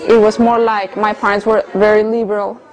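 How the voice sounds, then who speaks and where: A woman of around thirty from Colombia, speaking English, earnestly telling her personal story as an interviewee with soft hesitations. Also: medium pitch, flat delivery, fairly quick, some chatter behind, low-quality recording. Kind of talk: personal testimony in conversation.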